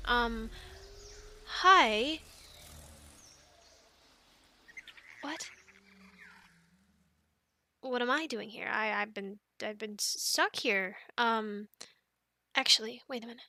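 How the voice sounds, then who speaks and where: A woman's voice: on the high side, slight background noise, exaggerated voice. A woman playing a quirky, awkward young animal scientist stranded on an island, stammering and rambling in an ASMR audio roleplay. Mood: startled, hesitant. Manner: stammering, rambling, flustered, urgent hushing in whispers.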